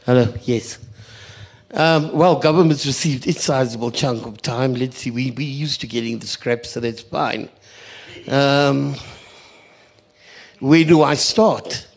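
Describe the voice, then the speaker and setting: A man's voice: medium pitch, slow pace, creaky, pauses often. A South African man giving an impassioned panel speech, indignant and sarcastic, hammering phrases home by repeating them. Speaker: community radio sector representative on a panel.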